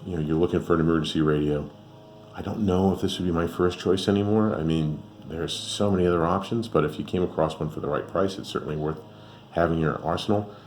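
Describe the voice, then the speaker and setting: Deep-voiced man casually reviewing gear, noisy recording. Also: quick pace, a little mellow. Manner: rambling, conversational, thinking aloud. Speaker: radio reviewer and channel host. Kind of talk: casual unscripted product review.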